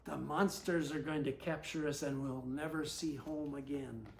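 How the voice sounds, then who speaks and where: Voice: medium pitch, fairly even intonation, normal speed, creaky, a little mellow, slight background noise, voice pushed down, natural voice. A storyteller voicing frightened, stranded children from a picture book, exclaiming and pleading. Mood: alarmed.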